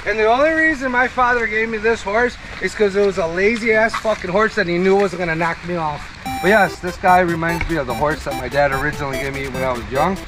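A man's voice: high voice, fast, over music. An American man chatting casually and jokily, with some swearing, outdoors at an animal park. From United States. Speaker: tourist companion in a travel vlog. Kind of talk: casual chat while feeding animals.

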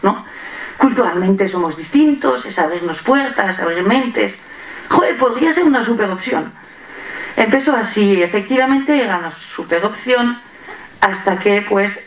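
A native French woman with a French accent gives an informal, humorous, self-deprecating talk as a teacher at a conference. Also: mid-range voice. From France. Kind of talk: informal conference presentation with anecdotes. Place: library lecture hall, indoors. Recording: roomy hall, distant microphone.

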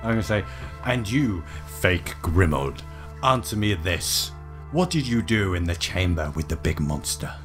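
A man with a fairly low voice improvises in-character banter as a tabletop player. Music plays under him. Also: sing-song, measured pace, a bit bright. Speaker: role-playing game player.

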